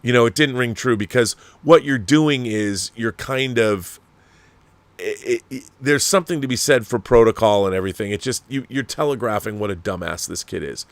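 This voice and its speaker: A man's voice: medium pitch, moderate pace, no room sound. Emphatic, rambling man giving opinions, close dry sound. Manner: rambling, emphatic, repeats words, swears freely. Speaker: host of a film and TV commentary show. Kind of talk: casual opinionated commentary, answering viewer messages.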